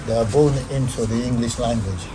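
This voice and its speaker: An older man from Nigeria, talking slowly and deliberately as an interview guest in a studio. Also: medium pitch, noisy background. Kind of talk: conversational interview.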